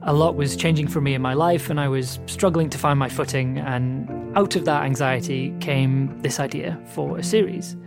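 A man's voice: fairly high pitch, normal speed, vocal fry, few pauses, music in the background. Man narrating a reflective podcast intro. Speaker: presenter and show creator. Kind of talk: scripted narration.

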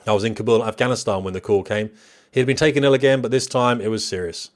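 Low-voiced man narrating a memoir. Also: quick pace. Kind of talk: scripted narration, reading a memoir.

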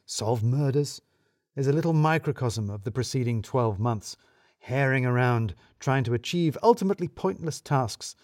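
A man's voice: mid-range voice, a little mellow, no room sound. Wry male storyteller, close and dry. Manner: wry, arch, wordy storytelling. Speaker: narrator of a serial murder mystery story. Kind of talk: scripted first-person story narration.

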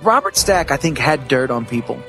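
A man with a mid-pitched voice chats casually on a podcast. He is flippant and irreverent, with faint music behind him. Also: expressive, a little fast, a bit bright.

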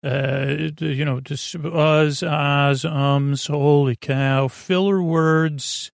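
A podcast host with a fairly high, very creaky male voice, recorded close and dry. He wanders through a bedtime monologue, piling up filler words and tangents. His manner is goofy and self-deprecating, never quite getting to the point. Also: steady tone, very slow, dry recording. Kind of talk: rambling bedtime monologue to help listeners sleep.

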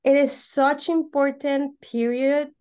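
A woman from Venezuela with a Venezuelan Spanish accent explains things as an interview guest, repeating words for emphasis in halting English. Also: high-pitched, fairly even intonation, mellow. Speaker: podcast guest, holistic coach.

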